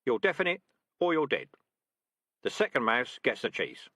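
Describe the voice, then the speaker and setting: A cocky veteran rat with a dry, needling wit, voiced by a man. His voice is bright and forceful, and it swings around a lot in pitch. It sounds close and dry, as if it is right on the mic. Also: huge pitch swings, normal speed, dry recording, full character voice. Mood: instructive. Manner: dry, sardonic, teasing. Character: cocky veteran male rat trap expert.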